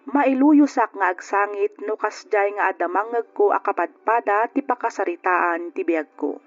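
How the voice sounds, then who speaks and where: A man's voice: high-pitched, normal speed, natural voice. A man playing a sentimental letter writer, narrating his life story for a radio drama. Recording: dull audio over faint music.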